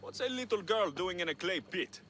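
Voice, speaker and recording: An actor playing a tough but wry and kindly mercenary in a video game. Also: male voice, high voice, lively intonation, fairly quick. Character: tough male Greek mercenary, gruff but kind, wry. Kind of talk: video game cutscene acting.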